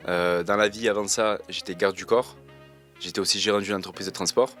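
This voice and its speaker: A man, answering interview questions in a relaxed, slangy way and pausing often with fillers. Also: low voice, faint music behind. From Marseille, France. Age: 20s. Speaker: reality TV contestant interviewed.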